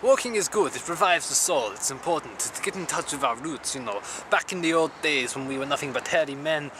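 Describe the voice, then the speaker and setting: A young man playing a self-styled wandering samurai, rambling in a deadpan way with sudden crude outbursts, recorded outdoors on city streets. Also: fairly high pitch, a little fast, close to an everyday voice. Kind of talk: improvised character comedy to camera. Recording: loud street and traffic noise.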